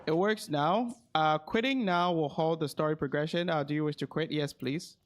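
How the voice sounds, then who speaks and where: A man's voice: fairly high pitch, moderate pace. A man chatting casually on a game livestream, thinking aloud and repeating his phrases as he goes. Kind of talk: casual livestream chat while gaming.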